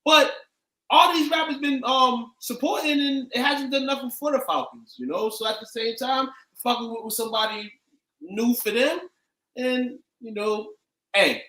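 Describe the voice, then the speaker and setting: Bright-voiced man in an echoey room. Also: high voice. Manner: excitable, hesitant with "um". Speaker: co-host. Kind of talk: casual sports chat.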